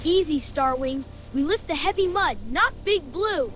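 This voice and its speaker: Woman as a very high, upbeat young cartoon rescue leader. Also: animated, measured pace. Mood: reassuring. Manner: upbeat, encouraging. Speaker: cartoon character voice. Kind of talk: children's animated adventure dialogue. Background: loud music.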